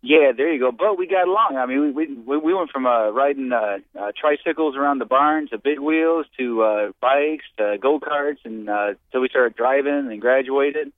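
A man's voice: mid-range voice, no echo. American man on a phone-like line, stammering. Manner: halting, stammering with repeated words. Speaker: radio call-in listener. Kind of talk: casual personal reminiscing. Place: on a phone call to a radio studio. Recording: muffled phone-like call-in line.

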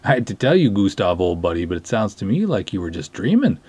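A man puts on an easygoing canoe cop buddy for a storybook reading. The voice is husky and lifted a little, with a folksy, teasing delivery. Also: on the low side, very animated, fast, warm tone, dry recording. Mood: amused. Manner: folksy, joshing.

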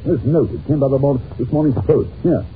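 An actor playing an irritable, imperious English detective in an old-time radio drama, brusque and pompous, with a British accent, on a muffled, noisy recording. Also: male voice, medium pitch, quick pace, natural voice. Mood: scornful.

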